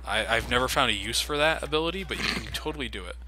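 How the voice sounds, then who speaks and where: A man's voice: mid-range voice, animated, quick pace, bright, forceful tone. A man giving sarcastic, casual commentary over his own gameplay, swearing freely. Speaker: let's play commentator. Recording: game noise underneath.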